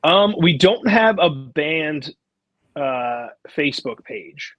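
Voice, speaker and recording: A man's voice: fairly high pitch, lively intonation, takes it slowly, no echo, muffled recording. A man from the United States speaking as a musician guest in a casual interview, easygoing and agreeable.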